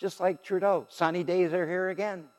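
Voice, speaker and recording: An older man telling his faith story from the pulpit in a loud, lively way, laughing between points. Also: high-pitched, moderate pace, background noise. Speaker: guest speaker giving testimony. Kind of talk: testimony sermon. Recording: long hall reverb.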